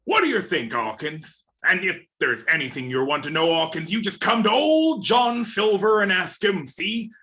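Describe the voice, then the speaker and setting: A man playing a wily old pirate sea cook in a radio play reading, with folksy, drawn-out sailor talk. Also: sits high, sing-song, pushed and bright, exaggerated voice. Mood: folksy, coaxing. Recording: remote video-call reading.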